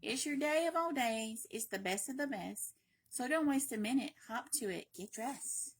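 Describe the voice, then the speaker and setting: A woman's voice: a little high, animated, normal speed, slightly rough. A woman voicing an exuberant, bossy birthday bird from a children's storybook, urging and exclaiming emphatically.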